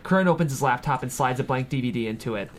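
A man's voice: medium pitch, fast talker. A man reading screenplay stage directions aloud at a table read, with dramatic scripted narration.

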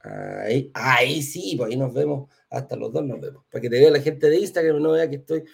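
A man's voice: mid-range voice, fairly quick, vocal fry, breathy edge. A man with a Chilean accent hosting a live class and interview in an informal, chatty way. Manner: chatty, informal, uses affectionate diminutives. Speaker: host of a live real estate investment class.

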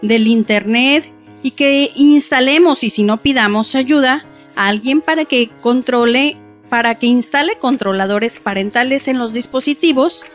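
A woman presenting advice for parents in a plain, explanatory way, dotted with filler words. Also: fairly high pitch. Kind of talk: informational talk.

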